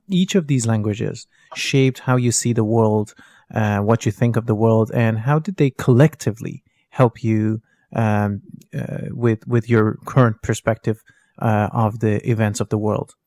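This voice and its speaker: Male interviewer, mid-pitched voice, halting questions full of restarts, dry close recording. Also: darkish tone, no room sound. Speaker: podcast host. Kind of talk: conversational interview.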